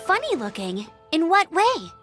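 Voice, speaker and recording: A woman playing an eager, helpful young female scout who talks in a brisk, upbeat way. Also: extremely high pitch, wildly swooping pitch, fairly bright tone, soft background music, exaggerated voice.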